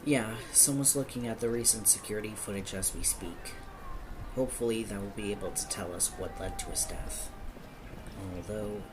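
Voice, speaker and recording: Over clearly noisy ambience comes a man acting as a small-town police officer with a lowish voice. He is nervous and awkward, hesitant in how he puts things and quick to apologize, in the style of a one-sided audio roleplay. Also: lightly acted. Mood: somber, uneasy.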